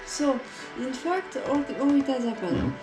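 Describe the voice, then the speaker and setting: A woman with a slightly high voice and a co-hosts an online radio broadcast. She pieces her story together slowly, with frequent fillers and restarts. The room is echoey and music plays behind her. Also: very animated. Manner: halting, many ums and restarts. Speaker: radio show co-host. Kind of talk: casual spoken recollection.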